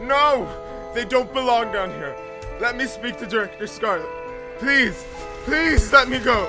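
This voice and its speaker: Frantic, emotional prisoner, acted by a man, extremely high voice, pleading and crying out, audio drama. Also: lively intonation, unhurried, music in the background, heavily acted. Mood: desperate. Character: desperate, emotional prisoner entity pleading for a friend.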